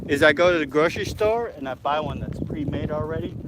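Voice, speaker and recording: Chatty excitable man outdoors. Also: a little high, fast talker. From Alaska. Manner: excitable, chatty, lots of fillers. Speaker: vlog host. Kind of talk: casual live-stream chat. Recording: outdoor noise behind a handheld camera.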